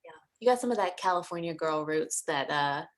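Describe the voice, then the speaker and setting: A woman's voice: low voice, lively intonation, brisk. A woman interviewing a colleague, asking friendly, rambling questions with many fillers. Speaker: interviewer, fellow counselor.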